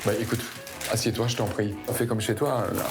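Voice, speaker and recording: A man's voice: fairly low pitch, steady tone, brisk, over music. A man playing a festival organizer, hesitant and fussy. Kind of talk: comic sketch, scripted dialogue.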